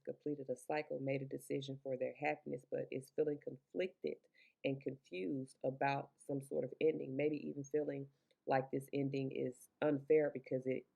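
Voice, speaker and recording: A woman with a deep voice giving a casual, rambling tarot reading. She talks chatty and loose, swears now and then, and records through Bluetooth earbuds. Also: vocal fry, dark tone, dull audio. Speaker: tarot reader.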